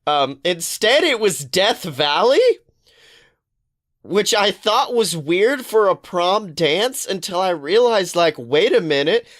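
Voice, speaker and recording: Man, fairly high voice, podcast co-host, casual pop-culture chat, animated, rambling, swears freely. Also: slow pace. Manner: animated, profane, rambling storytelling.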